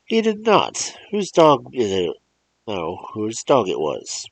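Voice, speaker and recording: A man's voice: medium pitch, wildly swooping pitch, takes it slowly, gravelly, pitched above the performer's own voice, full character voice. A man voicing a worried country mother whose voice trembles. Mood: upset. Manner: trembling, pleading.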